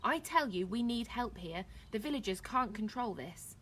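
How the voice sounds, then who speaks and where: A woman voicing a fearless young storybook princess, brisk and commanding. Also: medium pitch, a little hiss or hum, lightly acted. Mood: urgent, firm.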